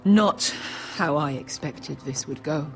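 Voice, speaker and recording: A hardened female sellsword from a story game, her voice gravelly and rough at the edges. She speaks bluntly, swears without a second thought, and carries a bitter weight in every line. Soft music plays beneath her. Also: low-pitched, takes it slowly. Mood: grieving, bitter. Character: tough grieving female sellsword, coarse and blunt.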